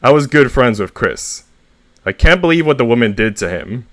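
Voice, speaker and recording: A man's voice: medium pitch, animated, moderate pace, a bit bright, dry recording, lightly acted. A man voicing an upset, vengeful squirrel who grieves a friend, sounding emotional and aggrieved.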